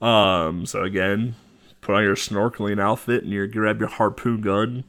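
A man with a lowish voice talks through a retro game boss fight in a loose, rambling way. He fills gaps with ums and breaks into laughs often. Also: steady tone, unhurried, a bit bright, clear, resonant tone. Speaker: game commentator. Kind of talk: casual retro game playthrough chat.

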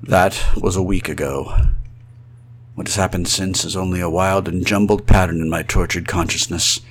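A man's voice: sits low, steady tone, moderate pace, a little hiss or hum. Theatrical, anguished ghost of a man, acted out. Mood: haunted, grave. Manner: theatrical, florid, swelling from hushed to frantic cries. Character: anguished male spirit trapped outside his undead body. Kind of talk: old-fashioned melodramatic horror radio monologue.

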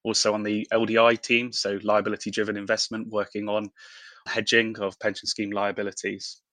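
A man's voice: lowish voice, monotone, fairly quick, fairly bright tone, dry recording. A man speaking as an expert interview guest, explaining things conversationally. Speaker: investment professional, interview guest.

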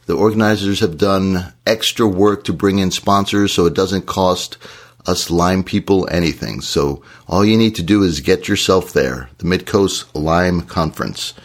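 A man, hoarse from shouting, co-hosting a podcast in a casual, encouraging way. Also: low voice, a little slow. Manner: earnest, encouraging advice. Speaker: podcast co-host.

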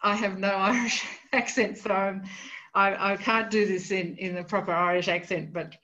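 An Australian woman discussing literature, thinking aloud with frequent pauses and restarts. Her pitch sits in the middle range. The audio is dull and lo-fi, as though it came over a remote call. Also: fairly even intonation, pushed and bright. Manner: hesitant, many ums and restarts, thinking aloud. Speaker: literary scholar guest. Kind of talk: podcast discussion of a novel.

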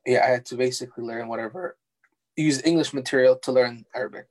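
A young man whose first language is Farsi, answering interview questions in a relaxed, halting way with many fillers and restarts. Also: medium pitch. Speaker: interview guest, architectural designer.

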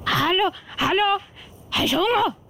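Girl doing a confused friendly old swiss german granny, high n loud, keeps repeating herself, radio play w bit of noise. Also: lively intonation, full character voice. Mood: eager, friendly. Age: older. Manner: muddled, repetitive, halting. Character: muddled, friendly, loud old woman offering food. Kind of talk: amateur radio play acting. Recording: noisy amateur radio play recording.

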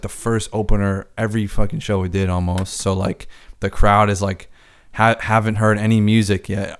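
A man's voice: on the low side, smooth. A man who works as a DJ, chatting casually on a podcast, rambling with lots of filler words and swearing freely.

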